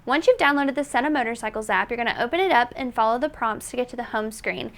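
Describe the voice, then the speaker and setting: A woman's voice: a little high, fast, fairly bright tone. A woman presenting a product tutorial in an instructional, step-by-step manner. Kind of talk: tutorial narration.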